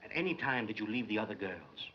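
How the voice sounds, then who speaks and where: An actor playing a stern, vindictive schoolmaster who questions in an insinuating, imperious way, in the manner of old film drama. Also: male voice, on the low side, very animated, quick pace. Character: stern bullying schoolmaster, prying and vindictive inquisitor. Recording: dull, noisy old film soundtrack.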